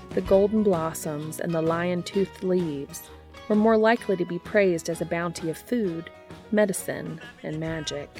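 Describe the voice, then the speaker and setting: A woman's voice: fairly low pitch, a little mellow. A woman hosting and reading stories aloud in scripted storybook narration, with music behind her. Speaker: podcast host and fan fiction reader.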